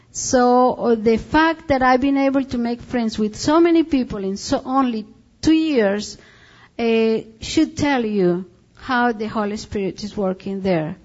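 Colombian woman missionary, fairly high voice, slow halting report talk, dull lo-fi recording. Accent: Colombian Spanish. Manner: halting, searching for English words.

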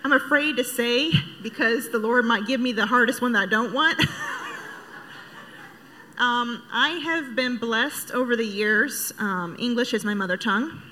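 A missionary candidate answering a question at church, thoughtful and pausing with ums. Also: female voice, on the high side, sharp tone, poor audio.

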